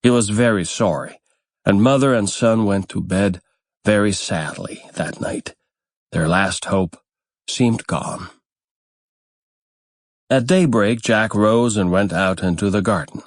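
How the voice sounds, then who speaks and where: Slow, low-voiced male storyteller. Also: dry recording. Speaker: audiobook narrator. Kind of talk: scripted fairytale narration.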